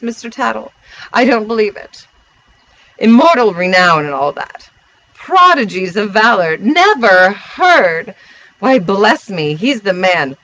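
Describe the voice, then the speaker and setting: A man's voice: high voice, pushed and bright, full character voice, poor audio. A man voicing a gossipy, scatterbrained society lady in a storybook, gushing and exclaiming as she rambles.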